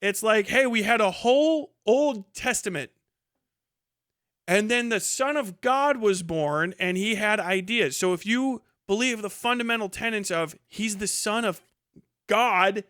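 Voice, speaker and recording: Man, slightly high pitch, very clean tone, close dry sound, casual live commentary, blunt, argumentative, rambling. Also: a little slow, a bit bright, dry recording. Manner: blunt, profane, sardonic. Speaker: streamer commenting on news.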